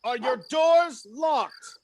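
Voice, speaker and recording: A man's voice: very high-pitched, drawn-out words, noisy background, full character voice. A man playing a bitter, scheming military ensign in a Shakespeare radio play, theatrical and sardonic. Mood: urgent, taunting. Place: recorded at home over a video call.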